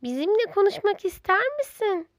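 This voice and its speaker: A woman's voice: very high voice, sing-song, normal speed, dry recording, clean studio sound, voice pushed up. A woman voicing a friendly, curious young storybook animal in a cheerful, sing-song, inquisitive way. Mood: friendly, inviting.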